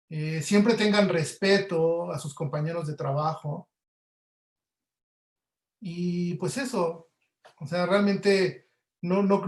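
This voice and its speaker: A man with a Mexican accent giving casual answers as an audio producer being interviewed in an online webinar. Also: high voice. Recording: roomy, echoey sound.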